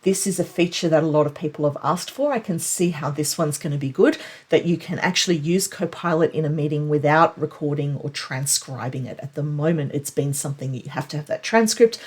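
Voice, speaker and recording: A woman's voice: low voice, brisk, slightly rough. A woman presenting a conversational, explanatory recap of tech news, leaning on key points for emphasis.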